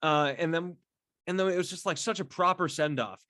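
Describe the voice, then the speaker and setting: A man chatting casually and solo as a podcast host, effusive and halting, repeating words and swearing freely as he rambles. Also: a little high, pushed and bright, dry recording, clean studio sound. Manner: effusive, enthusiastic fan talk. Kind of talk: casual solo chat to camera about a favorite band.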